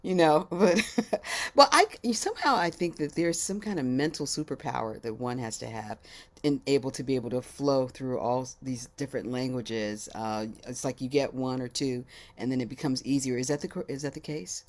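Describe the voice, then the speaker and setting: Woman host w a really low deep voice, playful, laughs a lot, easygoing chat. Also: moderate pace. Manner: playful, laughs often, teasing. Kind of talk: casual video chat interview.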